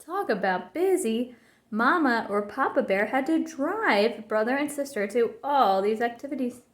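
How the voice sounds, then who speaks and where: A woman's voice: a little high, expressive, a little slow, roomy sound. A woman narrating a children's storybook as a scripted read-aloud.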